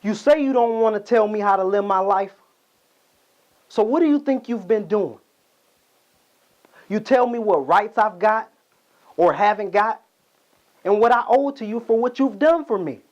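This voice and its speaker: A man's voice: a little high, a little slow, pauses often, lo-fi sound, natural voice. A man acting an angry, wounded grown son confronting his father in an emphatic dramatic monologue. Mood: defiant, angry.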